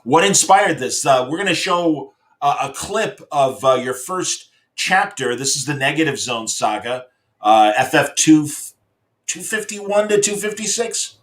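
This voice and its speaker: A man hosting a live comics show in a casual interview style, upbeat and halting in his delivery. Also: a little high, lively intonation, a bit bright.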